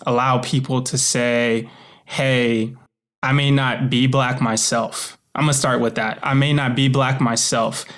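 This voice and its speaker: A man speaking as an interview guest in an earnest, reflective way, often voicing hypothetical quotes as he explains himself. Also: mid-range voice. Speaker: interview guest, author and voice actor.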